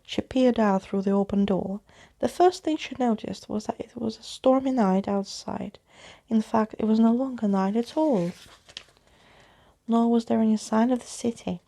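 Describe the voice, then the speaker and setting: A soft-spoken woman reading a children's story aloud in an expressive ASMR bedtime style. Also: fairly high pitch, moderate pace, creaky. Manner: expressive, acts out the characters.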